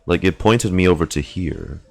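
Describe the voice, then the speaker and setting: A man's voice: deep voice, moderate pace, vocal fry, soft background music. A man chatting casually on a game livestream, rambling as he thinks aloud and swearing now and then. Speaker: game streamer. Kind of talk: casual livestream chat while gaming.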